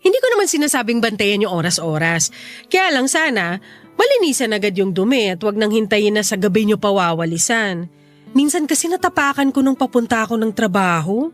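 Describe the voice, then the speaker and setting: Actress as a lively, earnest, exasperated female neighbor. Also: mid-range voice, fairly quick, faint music behind, natural voice. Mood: earnest pleading. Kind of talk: radio drama acting.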